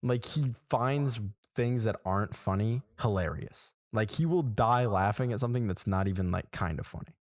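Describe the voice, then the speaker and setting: A man's voice: lowish voice, normal speed, few pauses, no room sound. A man in his twenties, co-hosting a podcast in casual chat, telling stories and acting out people's reactions. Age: 20s. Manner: animated storytelling, acts out quoted reactions. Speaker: podcast co-host. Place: small room.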